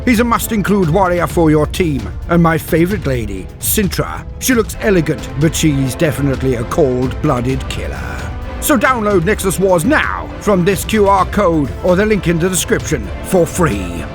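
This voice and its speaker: Male host-narrator, animated range, slightly rough voice, grand formal wording, faint music underneath. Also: on the high side, moderate pace. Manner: formal, theatrical phrasing. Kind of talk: scripted narration.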